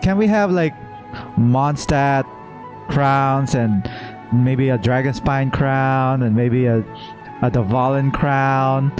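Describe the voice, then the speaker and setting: A man's voice: mid-range voice, takes it slowly. A man doing casual live gameplay reaction commentary as a streamer, playful and joking. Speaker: game streamer. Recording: faint game music behind.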